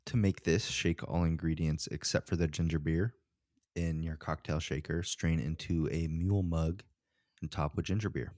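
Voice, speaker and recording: A man's voice: a bass voice, fairly even intonation, moderate pace, a little mellow, no echo, clean studio sound. A man co-hosting a podcast in casual, slangy, informal chat. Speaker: podcast co-host.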